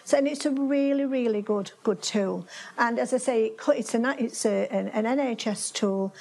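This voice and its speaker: A woman's voice: a little high, moderate pace, gravelly, crowd noise. An older woman with a Yorkshire accent giving a chatty conference talk in a seminar room, restarting phrases often. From Yorkshire, England. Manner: chatty, with frequent restarts and fillers. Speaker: conference speaker. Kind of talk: conference presentation. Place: seminar room with projector screen.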